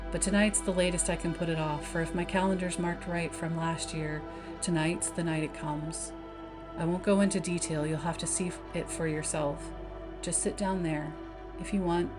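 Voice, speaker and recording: The musing old-salt talk you'd hear in a lamp room at night from a folksy, brooding keeper, as a woman narrator plays him in low tones, music underneath. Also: natural voice. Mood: grave, foreboding. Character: brooding, talkative male lighthouse keeper, awed by the sea. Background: background music.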